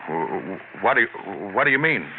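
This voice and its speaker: A man's voice: mid-range voice, big pitch swings, a little fast, noisy background, natural voice. An actor playing a terrified earthman in a 1970s radio drama, stammering and pleading, heard on a tinny old radio recording. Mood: frightened. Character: terrified earthman named Russell.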